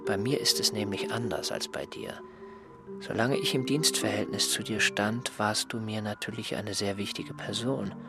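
An actor in a literary radio drama playing an insolent former servant, subdued and coolly dismissive. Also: male voice, on the low side, fairly even intonation, normal speed, lightly acted. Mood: cool, evasive. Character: insolent, indifferent male former servant, newly independent. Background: prominent music.

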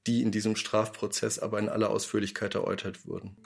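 A man's voice: fairly low pitch, level tone, fast talker, gravelly, noisy background. A man giving a reflective interview, building his points out of repeated, parallel questions. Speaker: radio drama maker interviewed about the production.

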